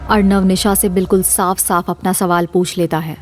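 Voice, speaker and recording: A woman's voice: fairly low pitch, level tone, moderate pace, faint music behind. A woman narrating a scripted audio drama as the storyteller.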